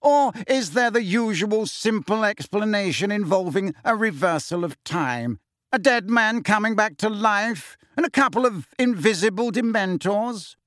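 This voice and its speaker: Male reader as a pompous, jolly, blustering middle-aged minister, lively and higher, audiobook. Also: a little slow, no echo, clean studio sound. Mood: mocking. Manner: blustering, heartily jolly, mocking.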